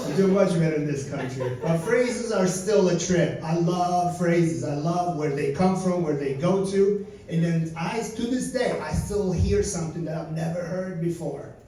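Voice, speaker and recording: A man from Turkey doing stand-up with a fairly high voice. His delivery is dry and wry. He sounds far from the mic in a very echoey lounge. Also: normal speed, hardly any pauses, warm tone, a little hiss or hum. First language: Turkish. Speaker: stand-up comedian. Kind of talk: stand-up set. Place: comedy lounge stage.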